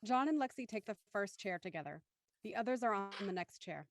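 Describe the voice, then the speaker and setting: A woman's voice: mid-range voice, lively intonation, a little fast, dry recording, studio quality. A woman reading scripted stage directions as the narrator of a drama.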